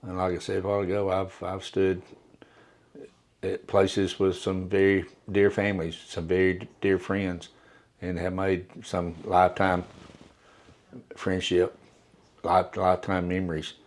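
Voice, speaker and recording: Soft-spoken older man, very deep voice. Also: completely flat delivery. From Appalachia. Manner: soft-spoken, halting with many restarts. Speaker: undertaker. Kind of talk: reflective interview talk.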